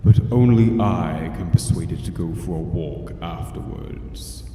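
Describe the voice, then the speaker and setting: Pompous supercomputer played by a man: very low, dark and lofty, deliberate delivery for sci-fi radio comedy. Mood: lofty, disdainful. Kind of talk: amateur radio comedy drama. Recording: echoing hall, distant, faint noise.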